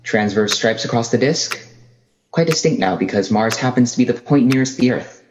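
A man's voice: mid-range voice, lively intonation, moderate pace, close to an everyday voice. Man playing a scholarly professor, very roomy sound. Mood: calm, matter-of-fact. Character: matter-of-fact male astronomy professor at his telescope. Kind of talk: 1930s radio acting. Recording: roomy, noisy track with ticking.

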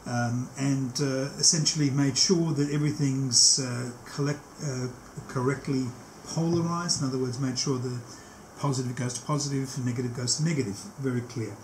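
The recording has a clearly roomy echo and faint noise, as from a camera mic in a small workshop. A man in his sixties speaks at a medium pitch, walking through a practical task step by step. His delivery is unscripted, with pauses as he works. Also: steady tone, darkish tone. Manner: step-by-step explaining, often pausing mid-task. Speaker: technician demonstrating a tape machine setup. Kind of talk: hands-on demonstration, unscripted walk-through. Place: small cluttered indoor workshop. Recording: echoey room, faint background noise.

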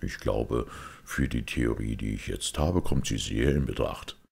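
A shrewd, pensive priest detective from an audiobook , voiced by a male reader. He is extremely deep and full of gravel, explaining his deductions calmly and deliberately. The voice is very husky. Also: exaggerated voice. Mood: thoughtful.

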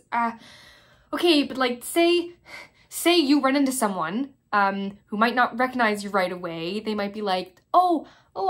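A high-pitched, wry teenage girl, acted by a young woman in a stage monologue. She argues in a halting, conversational way, full of restarts, with nervous defiance and indignation. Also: fairly bright tone. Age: young adult. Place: small plain room indoors.

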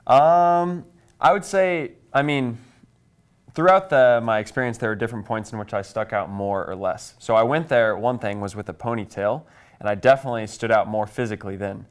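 A man's voice: medium pitch, moderate pace, smooth. A man in his twenties from Kansas with an American accent, answering conversationally as an interview guest. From Kansas, United States. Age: 20s. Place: small TV studio, indoors. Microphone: lapel mic.

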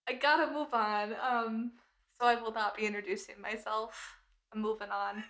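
A woman's voice: fairly high pitch, steady tone, moderate pace, fairly bright tone. A woman bantering casually as a player on a tabletop game podcast, playful and giggly.

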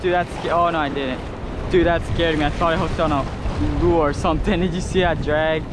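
A fairly high-voiced young man from Hawaii narrates his fishing outdoors on a reef, chatting to viewers and getting excited when a fish bites. His voice is picked up by a head-mounted action camera, with loud crashing surf and wind behind him. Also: fairly quick. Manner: excitable, talks to viewers while reeling. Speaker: fishing vlogger. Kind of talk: casual narration while fishing. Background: crashing waves.

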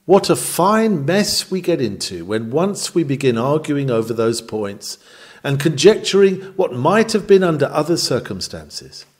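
A man reading a sermon aloud with emphatic, measured, oratorical phrasing in a rhetorical preaching style. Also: on the high side, sing-song. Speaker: sermon reader.

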